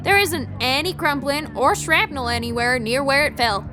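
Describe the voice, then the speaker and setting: A woman's voice: high voice, expressive, normal speed, over music. A woman playing a flustered traffic reporter giving a live radio news report on an alien invasion in an audio drama. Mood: composed, professional.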